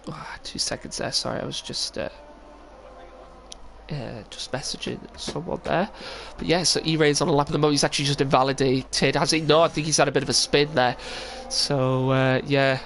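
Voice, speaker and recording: A man's voice: mid-range voice, fairly even intonation, normal speed, vocal fry, a bit bright, slight background noise. A young man with a cold, commentating a sim racing stream casually with lots of fillers. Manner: casual, rambling with many fillers. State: full of cold, sniffly. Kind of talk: live stream race commentary.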